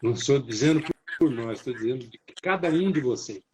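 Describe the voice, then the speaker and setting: A man's voice: mid-range voice, expressive, a little fast, slight background noise. A middle-aged or older man with a central Brazilian accent, speaking casually as a guest and gratefully addressing his audience. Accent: central Brazilian Portuguese. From Minas Gerais, Brazil. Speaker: guest, therapy co-creator. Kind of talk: casual live-stream talk.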